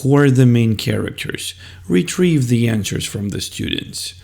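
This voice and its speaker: Slow-paced male teacher with creaky voice. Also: mid-range voice, expressive, a little mellow. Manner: deliberate, step-by-step instructing. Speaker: teacher presenting teaching methods. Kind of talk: instructional video explanation.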